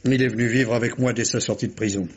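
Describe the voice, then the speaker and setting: Formal, husky middle-aged gentleman played by a man. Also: medium pitch, fairly even intonation, fast, lightly acted. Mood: guarded, sorrowful. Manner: formal, precise, refined diction. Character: formal, guarded gentleman uncle protecting his nephew. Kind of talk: mid-century French radio crime drama acting. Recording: dull, muffled old radio recording.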